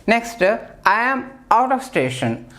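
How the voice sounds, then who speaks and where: Slow, deliberate woman teacher, echoey room. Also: medium pitch, big pitch swings, fairly bright tone. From India. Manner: slow, deliberate, repeats example sentences with fillers. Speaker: English teacher. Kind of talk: classroom grammar lesson at a board. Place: classroom with a board. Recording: roomy echo, distant from mic.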